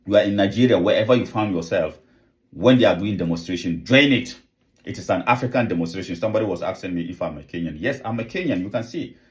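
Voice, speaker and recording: A man's voice: low-pitched, lively intonation, quick pace. A man giving an impassioned rallying speech to camera, full of repeated rhetorical questions and calls to rise up. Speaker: activist channel host.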